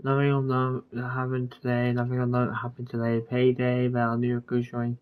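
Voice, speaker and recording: A woman's voice: completely flat delivery, fairly quick. A woman giving casual gameplay commentary as a streamer, slangy and offhand, on a muffled mic. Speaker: game streamer. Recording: muffled, dull low-fidelity mic. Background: game music.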